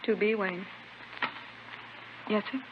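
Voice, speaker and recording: An actress playing a polite, efficient hospital receptionist in a 1950s radio drama. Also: female voice, mid-range voice, flat delivery, slow pace, close to an everyday voice. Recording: dull, very noisy old radio recording.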